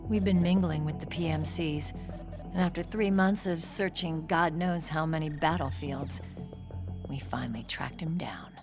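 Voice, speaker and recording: A hard-edged young American female squad leader for a cinematic game. The voice sits fairly low, brusque and commanding with a bitter temper, and music plays clearly behind it. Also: normal speed. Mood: grim briefing. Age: young adult. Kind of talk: cinematic video game voice acting.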